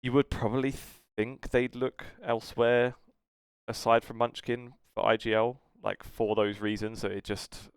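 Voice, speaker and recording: A man's voice: medium pitch, level tone, normal speed, clean tone, no room sound. A man with a British accent co-hosting a casual esports podcast, talking in a rambling, hesitant way that trails off. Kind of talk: casual esports news discussion.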